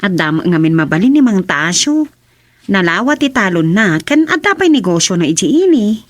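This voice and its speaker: Nosy townswoman, gossipy and animated, for radio comedy-drama, played by a woman. Close, dry sound. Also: mid-range voice, normal speed, no room sound. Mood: curious, gossipy.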